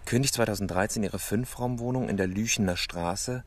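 Ein Schauspieler spielt in einem Radiokrimi einen trockenen, bohrend fragenden Kommissar. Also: male voice, on the low side, fairly quick, natural voice. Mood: dry, probing. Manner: probing, dry. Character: dry, probing male police inspector. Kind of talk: naturalistic German radio drama acting.

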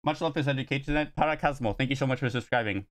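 A young Swedish man with a Swedish accent, streaming a competitive team game and firing off quick, repeated callouts over a headset. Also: mid-range voice. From Sweden. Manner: quick repeated shouted callouts, then relaxed chat to viewers. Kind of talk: rapid team callouts during a competitive match. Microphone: gaming headset.

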